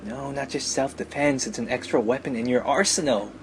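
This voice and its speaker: A man's voice: medium pitch, brisk, a little hiss or hum, close to an everyday voice. A man voicing a bossy, teasing teenage little sister from an anime visual novel, lecturing and scolding.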